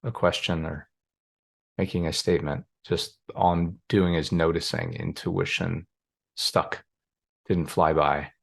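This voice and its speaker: A man's voice: low-pitched, steady tone, a little slow, creaky, husky. A man in a playful, musing conversation as a guest on a video call, thinking aloud and joking lightly. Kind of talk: casual conversation.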